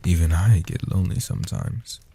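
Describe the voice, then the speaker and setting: A man voicing a gentle old guardian vampire in a soft-spoken comfort roleplay, slow and soothing, with a husky, half-whispered delivery. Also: deep voice, slight background noise. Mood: tender, reassuring.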